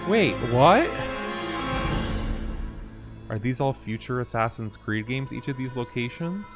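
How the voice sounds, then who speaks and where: A man giving casual reaction commentary as he plays a video game, puzzled and thinking out loud. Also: mid-range voice, very animated, unhurried. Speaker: gamer, channel host. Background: game music and dialogue.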